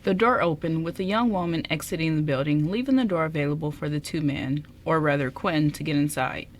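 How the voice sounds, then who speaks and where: A woman's voice: deep voice, a little fast, slight background noise. A woman reading scripted story narration as the narrator of a radio drama.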